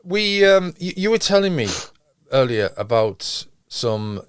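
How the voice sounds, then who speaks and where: A man's voice: fairly high pitch, big pitch swings, a little slow, clear, resonant tone, no room sound, studio quality. A man hosting a podcast in casual chat, with a hesitant, rambling way of talking. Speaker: podcast host.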